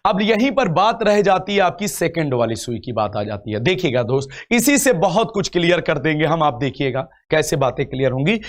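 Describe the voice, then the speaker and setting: High-voiced man, coaching teacher, step-by-step lecture, repetitive phrasing, rhetorical questions. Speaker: reasoning teacher for exam coaching. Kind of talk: live online lecture, explaining step by step.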